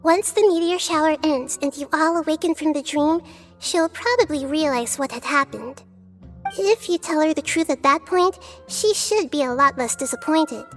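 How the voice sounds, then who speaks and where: A woman voicing a gentle, wise, childlike little deity in a video game, speaking in a measured, thoughtful way. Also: high voice, faint music behind, close to an everyday voice. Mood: gentle, pleased.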